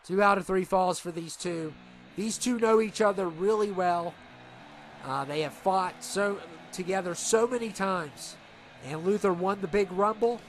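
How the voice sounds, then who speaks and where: A man with a stuffed-up nose doing rambling, excitable play-by-play for a video game wrestling show. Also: sits high, unhurried, slight background noise. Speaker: commentator.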